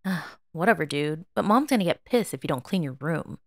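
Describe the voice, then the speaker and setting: A woman's voice: deep voice, lively intonation, a little fast, no room sound, studio quality. A woman voicing an irritated, blunt teenage brother with a brusque, bossy manner, in an audio story. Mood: annoyed. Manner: brusque, exasperated. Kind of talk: audio drama fan fiction reading.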